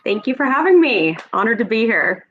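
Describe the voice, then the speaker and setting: A woman's voice: a little high, very animated, brisk, dull audio, a little hiss or hum. A woman in her thirties from Oregon, a real estate executive, talking about her career as a podcast guest in a friendly, upbeat way. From Oregon, USA. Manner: friendly, upbeat, laughs easily. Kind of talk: conversational interview.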